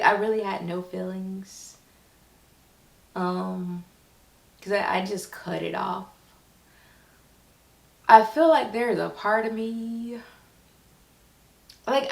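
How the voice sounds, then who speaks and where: A woman vlogger speaking candidly and informally in a halting way, as a writer talking about her own creative work. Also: on the high side, vocal fry. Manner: halting, informal, acts out quoted remarks. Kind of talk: candid personal vlog. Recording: echoey room sound.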